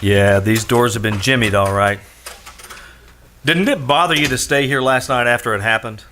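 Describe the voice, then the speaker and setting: A man's voice: mid-range voice, moderate pace, pushed and bright, slight background noise, natural voice. A man playing a shrewd, skeptical private detective in a radio drama, dry and probing as he questions and narrates. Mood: wry, probing.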